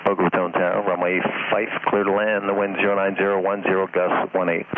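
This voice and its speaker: Man, tower controller, clipped clearances, tinny radio, faint static. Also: low voice, level tone, fairly quick. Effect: aviation radio.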